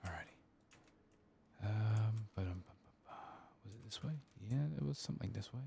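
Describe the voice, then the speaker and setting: ASMR gaming host, male: deep, creaky, soft muttered commentary, close mic, noticeable ambient noise. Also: normal speed. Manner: soft-spoken, murmuring, muttering to himself. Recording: clear background noise, game ambience, clicking. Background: game ambience and clicking.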